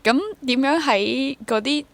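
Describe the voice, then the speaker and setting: A woman's voice: high-pitched, a little slow, vocal fry, no room sound. A woman in her twenties with a Hong Kong accent, talking casually and reflectively as an interview guest, dropping English words into her speech. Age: 20s. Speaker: interview guest, freelance stage actor and drama teacher.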